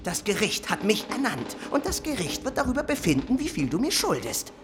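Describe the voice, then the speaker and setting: A woman's voice: medium pitch, sing-song, a little fast, music in the background, lightly acted. An actress playing a brash, greedy lawyer in a German radio drama, hectoring and sarcastic as she cuts in. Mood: greedy, bullying. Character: brash, greedy, bullying court-appointed lawyer.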